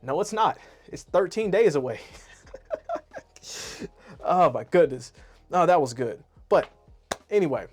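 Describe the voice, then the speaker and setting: A man's voice: on the high side, expressive, measured pace, long pauses, darkish tone, breathy edge, soft background music. A man reviewing his year to camera in a casual, rambling, self-deprecating way. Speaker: YouTube artist and animator.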